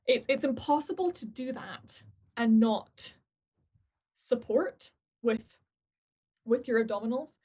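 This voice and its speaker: A woman's voice: fairly high pitch, animated, slow, pauses often, dark tone, husky. A woman, a guest who sings opera and teaches voice, chatting casually on a video call, often restarting her sentences and joking at her own expense. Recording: dull lo-fi video-call audio.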